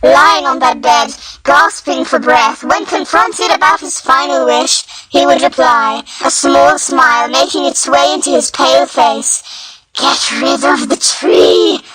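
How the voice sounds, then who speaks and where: A woman's voice: sits high, measured pace, hardly any pauses, pushed and bright, rough recording. A woman narrating fanfiction in a dramatic, mock-serious storytelling style. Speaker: fanfiction reader.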